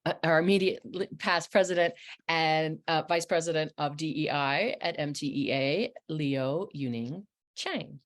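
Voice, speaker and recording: A woman's voice: low voice, a little slow. A woman moderating a panel discussion over a video call, reading agreements and introducing guests with small apologetic asides.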